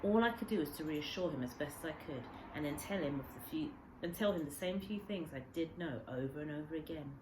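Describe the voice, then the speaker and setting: A woman reading a children's story aloud as a teacher, in expressive narration. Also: low-pitched, a little fast, few pauses. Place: indoor room with a window. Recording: echoey room with faint background noise.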